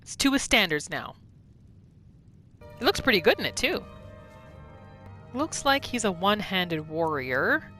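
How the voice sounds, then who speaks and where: A woman's voice: mid-range voice, very animated, unhurried, long pauses, bright, forceful tone. A woman gaming YouTuber giving casual, story-like commentary as she narrates a roleplay playthrough. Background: game music.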